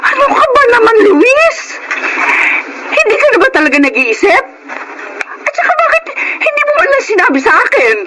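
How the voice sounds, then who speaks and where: A woman's voice: very high voice, huge pitch swings, moderate pace, pauses often, heavy background noise, exaggerated voice. A Filipino woman acting an indignant middle-aged wife and mother in an emotional radio drama, scolding and fed up with her in-laws. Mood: indignant, upset.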